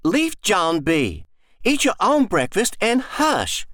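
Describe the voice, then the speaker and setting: A man voicing a grief-worn mother who scolds her children sharply in a high voice. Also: wildly swooping pitch, slow pace, no echo, studio quality, heavily acted. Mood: scolding. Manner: sharp, scolding, rising to a shrill cry.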